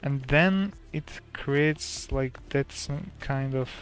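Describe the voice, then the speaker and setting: A soft-spoken man with a Slovak accent, a native Slovak speaker, gives calm, casual commentary while streaming a game. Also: medium pitch, takes it slowly, faint music behind.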